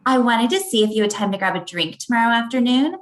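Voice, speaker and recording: A woman plays a sweet, flirty lady of sixty in a comedy read. Her voice is fairly high and chirpy, heard in a roomy, echoing space. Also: animated, quick pace, close to an everyday voice. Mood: friendly, flirty. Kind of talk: comedy script table read. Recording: echoey room.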